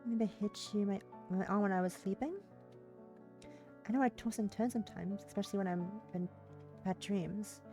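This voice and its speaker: A woman's voice: medium pitch, fairly even intonation, normal speed, mellow, soft background music. A woman livestreamer reading a visual novel's narration aloud, stumbling over words and rereading phrases, with brief casual asides.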